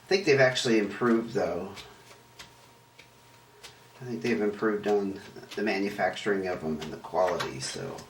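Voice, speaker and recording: A hoarse man talks his way through a casual tarot reading, rambling and correcting himself as he goes. His roughened voice sounds a little distant from the mic in a roomy, echoing space, with a faint hiss underneath. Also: on the low side, normal speed, pauses often. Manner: chatty, rambling, self-correcting. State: hoarse, voice rough and deeper from illness. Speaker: tarot reader and channel host.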